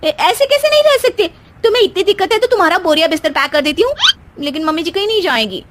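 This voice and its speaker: A feisty young daughter-in-law from a cartoon family comedy, acted by a woman. Her voice is very high and lively, swinging up and down as she bickers. She sounds sulky, sarcastic and indignant. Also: quick pace, full character voice. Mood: alarmed, protesting. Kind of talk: animated family comedy story acting.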